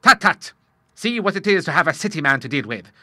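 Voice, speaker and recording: Booming old admiral, jovial, lively swings, bright dry voice, a man reading it. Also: a little fast, no room sound, exaggerated voice. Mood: hearty. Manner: hearty, loud, booming. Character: jovial old retired male naval admiral.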